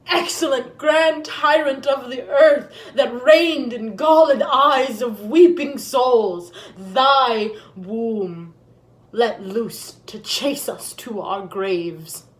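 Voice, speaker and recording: A woman playing a grieving, vengeful deposed queen in a Shakespearean stage monologue, declaiming solemnly and bitterly. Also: a little high. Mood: scornful fury. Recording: echoey room.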